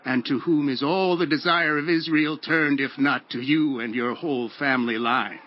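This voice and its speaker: An actor playing a solemn, commanding prophet in a dramatized audio Bible, with measured, declamatory delivery. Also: male voice, medium pitch, fairly even intonation, lightly acted. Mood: solemn, assured. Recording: dull lo-fi audio with music. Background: background music.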